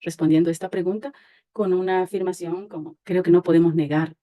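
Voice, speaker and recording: A woman speaks on a panel in a dark, mellow voice pitched a bit low. She is deliberate and emphatic, halting as she builds formal statements. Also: fairly even intonation. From El Salvador. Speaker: panelist, church leader.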